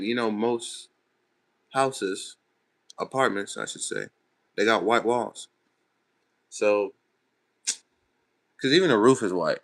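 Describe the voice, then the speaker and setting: A man's voice: on the low side, fairly bright tone. A young man from Texas, chatting casually on a late-night livestream and answering viewers' comments in loose, slangy talk. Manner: laid-back, chatty, loose slangy phrasing. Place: bedroom at home.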